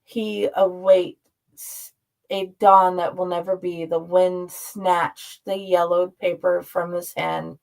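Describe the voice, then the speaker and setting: A woman reading a horror story aloud in a dramatic, deliberate, drawn-out way. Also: lowish voice, level tone, creaky, low-quality recording. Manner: slow, deliberate, drawn-out delivery. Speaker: story reader and host.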